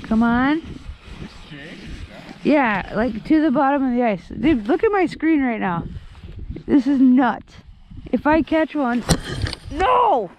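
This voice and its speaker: Young guy fishing on the ice, high-pitched and hyped, slow drawl, windy outdoor cam audio. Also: lo-fi sound. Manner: excitable, slangy, talks to the fish. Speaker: fishing vlogger. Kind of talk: excited running commentary while fishing. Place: outdoors on a frozen lake. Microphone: head-mounted action camera. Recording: outdoor wind and ice noise.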